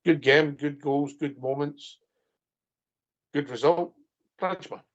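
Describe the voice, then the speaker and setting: A man's voice: a little high, takes it slowly, fairly bright tone. A man hosting a fan radio phone-in, teasing his guests and laughing often. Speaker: host. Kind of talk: casual phone-in chat. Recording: muffled phone-in line.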